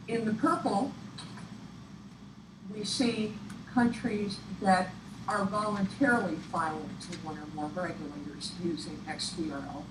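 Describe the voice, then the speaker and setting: A woman presenter giving an instructional presentation in a deliberate, explanatory way. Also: medium pitch. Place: echoey room, picked up from afar. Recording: echoey, distant room recording with clear noise. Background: clear room noise.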